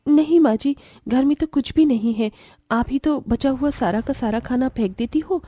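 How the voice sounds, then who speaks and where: A woman playing a gentle, respectful, earnest young daughter-in-law from a poor family in a cartoon story. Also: sits high, moderate pace, no echo, studio quality. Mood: mild reproach.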